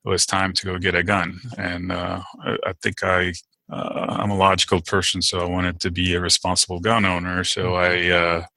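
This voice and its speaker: A man's voice: low voice, completely flat delivery, moderate pace, gravelly, bright, forceful tone, no echo. A middle-aged man from Turkey, answering interview questions in a casual, hesitant way with many fillers and restarts. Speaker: podcast guest.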